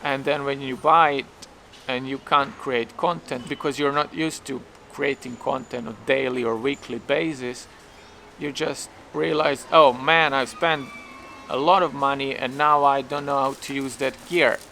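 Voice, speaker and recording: Bright-voiced Serbian-accented man thinking aloud. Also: medium pitch, steady tone, a little slow, slight background noise. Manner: hesitant, self-questioning, restarts often. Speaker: vlogger. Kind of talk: casual thinking-aloud vlog. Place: outdoors waiting for a taxi.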